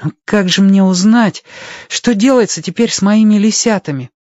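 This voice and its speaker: A man's voice: sits high, normal speed, darkish tone, no echo, dull audio, pitched above the performer's own voice, close to an everyday voice. A man voicing an earnest, caring schoolboy in a radio story. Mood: worried.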